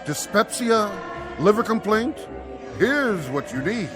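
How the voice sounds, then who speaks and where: An actor playing a showy, fast-talking patent medicine peddler with florid barker's patter, in old-time radio drama style. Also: male voice, sits high, very animated, exaggerated voice. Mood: showy, persuasive. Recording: music behind, vintage radio drama.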